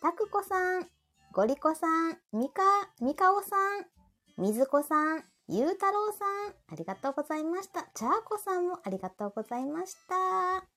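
A woman's voice: very high voice, very animated, moderate pace, fairly bright tone, soft background music. A woman with a Kansai accent hosting a live audio show in casual, playful chat. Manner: playful banter with co-host and listeners.

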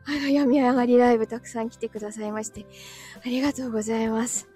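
A woman with a high-pitched voice chats informally to her livestream viewers. She giggles often as she replies to comments, sounding tired and slightly breathless from a recent illness. Soft music plays faintly behind her. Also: fairly even intonation, fast, breathy edge. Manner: giggly, often breaking into small laughs. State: recovering from illness, tired and a little short of breath. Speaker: live streamer and voice actor. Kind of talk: casual live-stream chat, answering viewers' comments.

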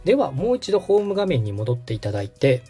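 Man, mellow tone, animated pitch, patient tutorial delivery, polite, background music. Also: fast, breathy edge. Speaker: host and radio director guiding a setup. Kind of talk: conversational step-by-step tutorial.

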